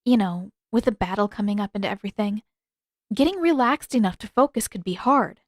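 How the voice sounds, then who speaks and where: A woman voicing a gentle, earnest teenage girl in a fantasy story, soft, tentative and lightly teasing. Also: mid-range voice, animated, fairly quick, no room sound, studio quality, natural voice. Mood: shy, gentle.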